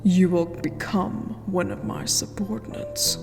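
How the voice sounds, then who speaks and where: A woman's voice: on the low side, close to an everyday voice. A woman playing a cold, stern vampire queen in a fantasy ASMR roleplay, regal and commanding. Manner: slow, commanding, breathy whispered delivery. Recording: long hall reverb, music underneath.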